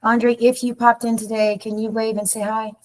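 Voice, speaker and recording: A woman's voice: fairly high pitch, flat delivery, moderate pace, low-quality recording. A woman hosting an online community meeting over a video call, introducing speakers in a loose, informal way with restarts. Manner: warm, informal, rambling with restarts. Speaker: nonprofit staff host of a community webinar.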